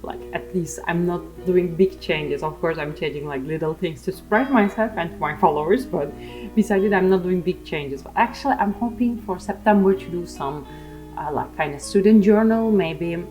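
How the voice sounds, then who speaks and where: A woman's voice: on the low side, moderate pace, a little mellow, low-quality recording. A young woman with a Turkish accent, chatting casually and self-mockingly over her art vlog. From Turkey. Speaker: art vlogger. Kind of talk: casual voice-over chat while drawing. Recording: faint music underneath.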